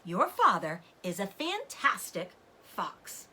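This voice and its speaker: A woman puts on a tender mother fox from a children's storybook. She is anxious and pleading, in a bright voice that rises and falls expressively. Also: mid-range voice, wildly swooping pitch, takes it slowly, breathy edge, exaggerated voice. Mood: proud.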